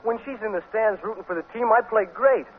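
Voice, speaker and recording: A male actor plays a slow, bashful teenage boy athlete in a 1950s radio sitcom. He plods through clumsy grammar in a high-pitched voice. The recording is narrow and lightly noisy. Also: natural voice. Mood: lovesick. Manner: dim, plodding, ungrammatical.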